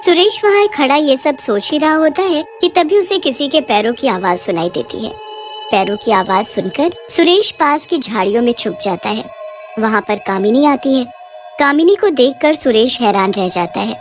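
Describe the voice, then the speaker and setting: A woman's voice: high voice, animated, normal speed, faint music behind. A woman narrating a scripted cartoon horror bedtime story.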